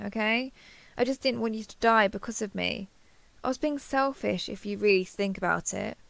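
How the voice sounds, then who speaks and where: A woman's voice: medium pitch, gravelly, fairly bright tone, no room sound. A woman playing a kindhearted, awkward young woman who gets easily flustered, speaking hesitantly and earnestly in an intimate roleplay audio drama.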